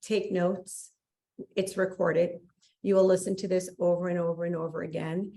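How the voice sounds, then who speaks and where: A woman's voice: fairly low pitch, level tone, normal speed, dark tone, poor audio. A woman hosting a call, giving an effusive, emphatic motivational introduction. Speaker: call host.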